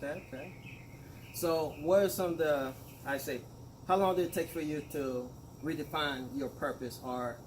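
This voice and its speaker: A man's voice: a little high, normal speed. A man interviewing a guest, who often affirms with "okay, okay" and phrases his questions loosely, restarting as he goes. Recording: noisy background.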